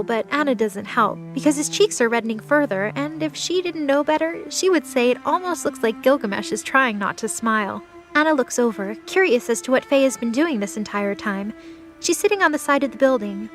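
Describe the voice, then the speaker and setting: A woman's voice: on the high side, lively intonation, brisk, soft background music. A woman narrating a scripted light novel audio drama.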